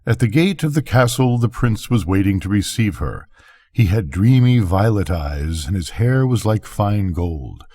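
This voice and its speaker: An older man narrating a bedtime story, reading gently and expressively. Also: on the low side, lively intonation, a little slow.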